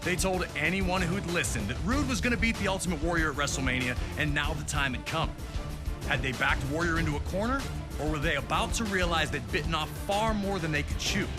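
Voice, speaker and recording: A man's voice: fairly high pitch, a little fast, music in the background. A man narrating a wrestling match like a dramatic sports documentary, in an emphatic storytelling manner. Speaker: narrator of a wrestling game showcase.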